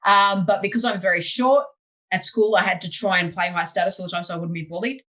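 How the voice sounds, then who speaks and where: A woman hosting an improv podcast, talking casually over a video call, chatty and animated, full of "you know" and "like". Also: medium pitch, fast, low-quality recording. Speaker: podcast host and improv teacher. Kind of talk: casual interview chat.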